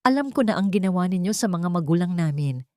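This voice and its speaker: A woman's voice: on the low side, lively intonation, fast, darkish tone, no room sound, natural voice. A woman narrator acts out a defiant young heroine in a romantic audio drama. She sounds emotional and accusing, in a dry, close recording. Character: defiant, anguished young woman confronting her in-laws.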